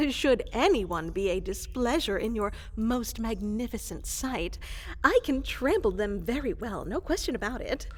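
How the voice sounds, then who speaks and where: A woman voicing a small, fluffy, eager monster girl in a fantasy comedy roleplay, stammering, apologetic and overly formal. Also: a little high. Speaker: applicant for evil advisor post. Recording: reverberant large hall.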